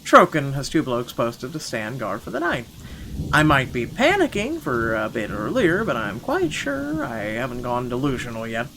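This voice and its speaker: A man reading a visual novel aloud as a wealthy, irritable gentleman, expressive and indignant in his narration. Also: medium pitch, moderate pace, slight background noise, exaggerated voice, low-quality recording. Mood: annoyed, wry. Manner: indignant, expressive, stumbles over the script. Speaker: gaming channel host.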